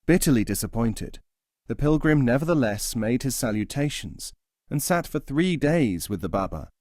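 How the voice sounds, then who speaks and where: A man's voice: mid-range voice, lively intonation, moderate pace, darkish tone, no echo, studio quality. A man narrating traditional tales as a scripted audiobook reading.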